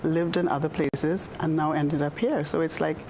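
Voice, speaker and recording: A woman in her sixties from the Bahamas, a guest doctor telling her story in a studio interview, unhurried, with many fillers and restarts. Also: sits low, fairly even intonation, background noise. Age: 60s. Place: television studio.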